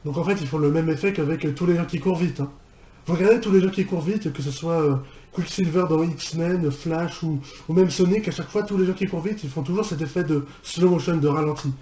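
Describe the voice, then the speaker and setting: A man hosts a reaction video in an informal, think-aloud way, often repeating phrases. His voice sits a little high with a soft, mellow tone. The sound is roomy and echoey. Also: fast, highs cut off, faint music behind. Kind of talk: casual video commentary.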